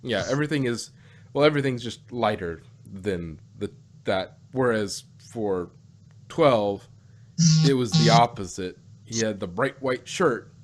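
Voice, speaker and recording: A man's voice: on the low side, animated, unhurried, music in the background. A man chatting casually as a podcast host, hesitant and often repeating and rephrasing himself as he goes. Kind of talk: casual chat.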